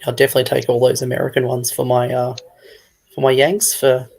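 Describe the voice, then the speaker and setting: A man's voice: mid-range voice, monotone, a little fast, gravelly, a little mellow. A man, a hobby retailer, chatting casually as a guest.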